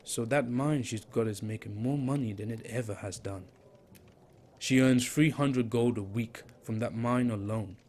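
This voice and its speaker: A man's voice: medium pitch, moderate pace, a little mellow, slight background noise, close to an everyday voice. An actor playing an angry man who rails against the rich, impassioned and lecturing.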